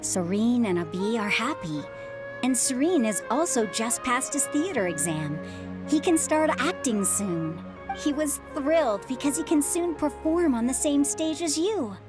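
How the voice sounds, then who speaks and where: Casting: seasoned motherly adventurer in a game, slightly high, expressive, calmly reassuring. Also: measured pace, music in the background. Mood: reflective. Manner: calm, reassuring, philosophical. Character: reassuring middle-aged widowed mother, former adventurer. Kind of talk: video game voice acting.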